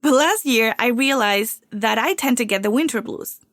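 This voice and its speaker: A woman's voice: fairly high pitch, animated, moderate pace, fairly bright tone, no room sound, clean studio sound. A woman from Venezuela, hosting a solo podcast in an upbeat, confiding way while getting over a cold. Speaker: podcast host.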